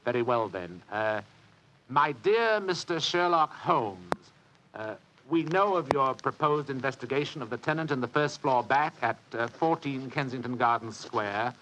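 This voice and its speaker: An actor playing a shrewd, commanding but indolent gentleman investigator in an old-time radio drama. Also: medium pitch, animated, unhurried, noisy background, lightly acted. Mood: scheming.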